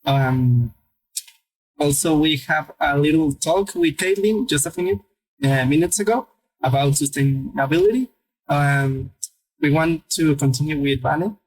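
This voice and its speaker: A podcast host poses questions to guests with some hesitation and loose phrasing. The voice sits at a middle pitch. The delivery stays polite and deliberate. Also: a little mellow, low-quality recording. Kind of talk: interviewer questions.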